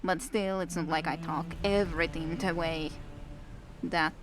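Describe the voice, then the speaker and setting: A woman's voice: lowish voice, fairly even intonation, unhurried, rough recording. A woman with a Finnish accent giving casual, rambling commentary as she plays a video game, wry and chatting to her viewers. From Finland. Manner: rambling, wry, talks to herself and her chat. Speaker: streamer playing a video game. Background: game music.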